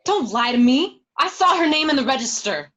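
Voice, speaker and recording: Woman playing an angry, hurt mobster's wife, high-voiced. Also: lively intonation, moderate pace, a bit bright. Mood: accusing, bitter. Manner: accusing, emotional. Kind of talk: radio drama acting of a film script.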